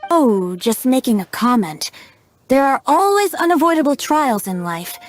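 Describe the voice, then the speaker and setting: A woman's voice: high-pitched, big pitch swings, normal speed, soft background music. A woman voicing a youthful male bard in a video game, playful and sly, with a lilting, poetic, teasing delivery. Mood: playful, earnest. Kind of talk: English video game voice acting.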